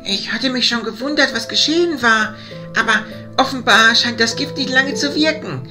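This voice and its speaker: An earnest adventurer in a fantasy audio play, voiced by a woman, brave yet bewildered. The voice sits a little high and sounds full of wonder. Music runs clearly behind it. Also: normal speed, natural voice. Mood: puzzled, reflective. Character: brave human male hero, puzzled and recovering. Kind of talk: German fantasy audio drama.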